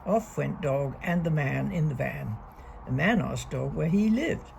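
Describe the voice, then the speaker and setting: Slow, creaky-voiced woman reading a children's storybook. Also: low-pitched, slight background noise. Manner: deliberate, expressive read-aloud with emphasised key words. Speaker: storybook reader. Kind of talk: reading a young children's picture book aloud.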